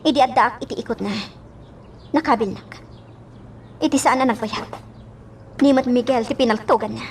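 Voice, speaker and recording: A woman's voice: on the high side, moderate pace, long pauses, far from the mic, slight background noise, lightly acted. A woman playing a heartbroken young lady in a radio drama, bitter and wistful, with emotive delivery. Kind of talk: Ilocano radio drama acting.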